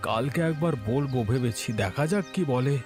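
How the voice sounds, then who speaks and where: A man playing a kind, principled poor villager in a cartoon, speaking in an earnest, gently persuasive manner. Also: mid-range voice, moderate pace, close to an everyday voice. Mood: concerned, reasoning. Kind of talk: Bengali moral-story cartoon dubbing. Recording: music behind the voice.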